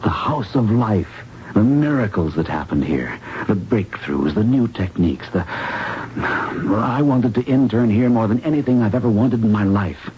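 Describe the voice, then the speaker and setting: Actor as a low-voiced, grave young doctor. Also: male voice, lively intonation, lightly acted. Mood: bitter, somber. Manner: hushed, grave, deliberate. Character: grave, secretive, idealistic young male doctor turned investigator. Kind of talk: 1970s radio drama acting. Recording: dull lo-fi radio broadcast, noisy.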